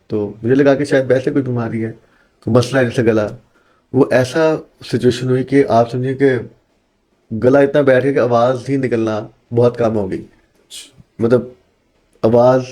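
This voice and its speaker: An earnest male teacher from Pakistan talks through his answers in a podcast interview. He explains things patiently and in detail. He drops English phrases into his speech, and his pitch sits in a typical middle range. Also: dark tone. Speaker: accounting teacher, guest.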